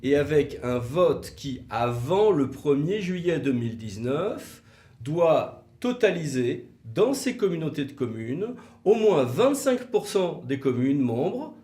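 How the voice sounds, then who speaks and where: A man presents a legal explainer in a lively, varied voice. He is didactic, leaning on key words and asking rhetorical questions. The sound is roomy and echoey. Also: on the high side, takes it slowly. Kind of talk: explanatory legal video talk, semi-scripted.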